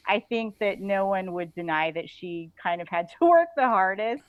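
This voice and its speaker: A woman's voice: medium pitch, expressive, no room sound, highs cut off. A woman chatting casually as an interview guest, with informal phrasing that stops and starts and leans often on "like". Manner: informal, halting phrasing with frequent "like". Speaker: guest author being interviewed.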